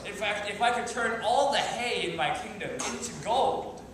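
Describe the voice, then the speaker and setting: A man's voice: sits high, animated, normal speed, far from the mic, crowd noise. A man improvising as a self-important, muddled evil king, musing in a mock-grand way on stage in an echoey, noisy room. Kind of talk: improv comedy storytelling on stage.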